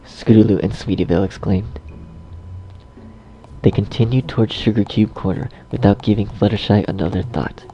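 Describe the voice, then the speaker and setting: A man's voice: on the low side, normal speed, dark tone, a little hiss or hum. A man narrating a scripted story, recorded slightly dull. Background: faint music.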